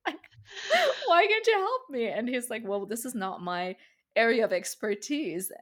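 A woman's voice: on the high side, expressive, normal speed, a bit bright. A woman whose first language is Farsi, hosting a casual conversation and talking thoughtfully with light laughs. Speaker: podcast host.

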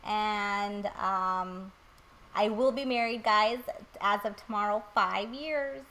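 A woman's voice: medium pitch, slow pace, fairly bright tone, rough recording. Giggly woman in her late twenties. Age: 20s. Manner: giggly, self-deprecating. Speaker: podcast co-host. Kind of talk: casual group chat.

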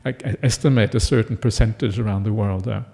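A middle-aged man born in Norway, a Buddhist monk giving a relaxed, conversational Dharma talk full of "uh" fillers and "yeah?" checks. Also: on the low side, brisk, creaky.

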